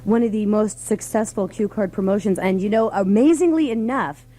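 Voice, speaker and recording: A woman's voice: medium pitch, moderate pace, dark tone, poor audio. An American woman hosting a radio show, chatting casually on air. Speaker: radio morning show host. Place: radio studio.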